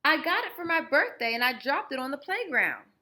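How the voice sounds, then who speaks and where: Woman as an indignant, high-voiced little schoolboy. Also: very animated, fairly quick, sharp tone, full character voice. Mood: heated protest. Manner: demanding, protesting. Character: indignant little schoolboy claiming his property in storybook.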